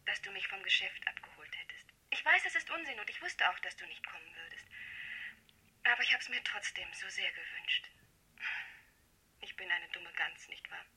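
An actress playing an insecure young shopgirl in love in a radio drama, speaking in a breathy, pleading, intimate half-whisper. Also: medium pitch, lively intonation, moderate pace, pushed and bright, exaggerated voice, low-quality recording. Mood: anxious, pleading. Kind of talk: 1970s German radio drama acting.

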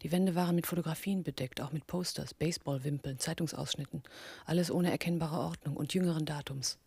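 An actress playing a cool, observant woman who narrates a crime radio drama in a slightly husky voice. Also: low-pitched, fairly even intonation, brisk, dark tone, no echo, full character voice. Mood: composed, matter-of-fact. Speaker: narrator and character in a radio play. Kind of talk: scripted first-person narration, 1980s radio drama.

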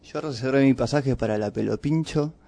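An Argentine man co-hosting a radio show in a studio, chatting casually with frequent hesitant pauses. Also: medium pitch, gravelly, dark tone. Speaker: radio co-host.

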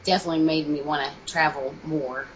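Young Kentucky-raised woman guest, deep voice, loose rambling delivery, light background noise. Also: steady tone, brisk, poor audio. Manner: rambling, many fillers and restarts. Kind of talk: casual interview chat.

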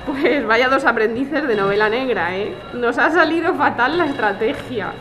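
An actress as blunt, highish-voiced Spanish woman. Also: lively intonation, moderate pace, music in the background, natural voice. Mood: doubtful. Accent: Spanish, from Spain. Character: indignant, distrustful woman activist, blunt and colloquial. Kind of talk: naturalistic audio drama dialogue.

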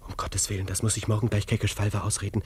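An actor playing a proud but self-doubting young cavalry officer in a German radio drama, brooding and questioning himself. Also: male voice, fairly low pitch, level tone, rapid-fire, a little mellow, breathy edge, far from the mic. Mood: brooding, agitated.

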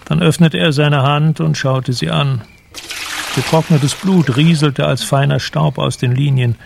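Casting: male radio drama narrator, fairly high and gravelly, sombre, measured, with background noise. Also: steady tone.

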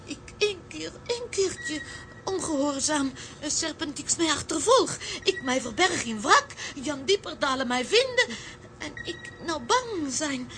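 A woman's voice: sits high, big pitch swings, a little slow, a bit bright, a little hiss or hum, full character voice. A woman playing a timid young sea princess who pleads in broken, childlike grammar in a children's audio drama. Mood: frightened. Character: timid young sea girl, royal child of an underwater city.

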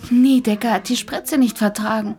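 A woman's voice: on the high side, fairly even intonation, moderate pace, background noise, close to an everyday voice. An actress playing a troubled, earnest female doctor in a German radio play, speaking hesitantly. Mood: dismayed, bewildered.

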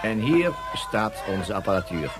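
A man's voice: lowish voice, sing-song, measured pace, over a noisy crowd. A man playing a courteous official in a Dutch science-fiction radio play, explaining things formally. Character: courteous male official showing communications equipment.

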